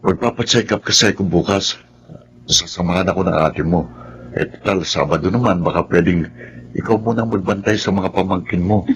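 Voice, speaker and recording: An actor plays a patient older brother and family man in a Filipino radio drama. His voice is very low, earnest and respectful, explaining things gently. Noticeable ambient noise sits behind him. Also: brisk, close to an everyday voice. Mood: pleading.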